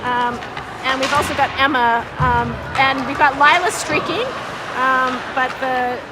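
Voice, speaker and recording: A woman giving informal, halting live hockey commentary as an unsure, self-deprecating amateur, with a slightly scratchy voice. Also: sits high, fairly even intonation. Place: indoor ice hockey arena. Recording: music and crowd noise behind, arena. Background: music and crowd.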